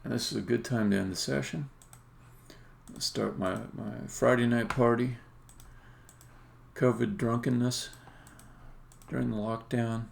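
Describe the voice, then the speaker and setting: Middle-aged male developer-streamer, heavy vocal fry, unhurried pace, casual but opinionated delivery with emphatic stresses. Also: mid-range voice, fairly even intonation, slight background noise. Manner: rambling, opinionated, halting then emphatic. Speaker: indie game developer, streamer. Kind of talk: casual live-coding commentary.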